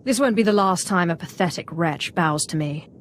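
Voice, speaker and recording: An actress playing a cold, ruthless Sith apprentice in a video game, haughty and curt. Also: female voice, lowish voice, normal speed, a little hiss or hum, natural voice. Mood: threatening.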